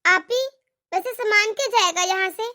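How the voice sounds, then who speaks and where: A young daughter-in-law in a cartoon family tale , acted by a woman, with a tiny, extremely high voice. She speaks respectfully but stands her ground with pointed questions. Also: normal speed, sharp tone. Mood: polite, questioning. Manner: pleading, argumentative, respectful address. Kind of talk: animated family drama dialogue.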